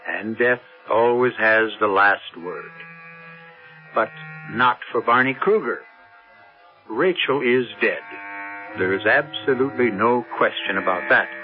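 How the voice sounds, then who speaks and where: A man narrating a scripted radio mystery as its host, solemn, deliberate and portentous. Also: mid-range voice, phone-quality sound, natural voice. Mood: solemn, ominous. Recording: band-limited old radio recording with music.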